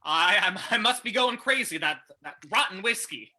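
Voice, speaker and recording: A man's voice: sits high, lively intonation, normal speed. A man playing a shifty, hard-drinking saloon keeper in an old-time radio drama, blustering and stammering, on a noisy recording. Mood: rattled, frightened.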